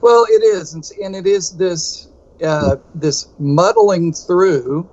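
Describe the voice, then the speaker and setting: Higher-pitched Texan man with a muffled sound. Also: slow, darkish tone. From United States. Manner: rambling, self-deprecating, restarts often. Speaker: podcast co-host. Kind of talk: casual conversational podcast.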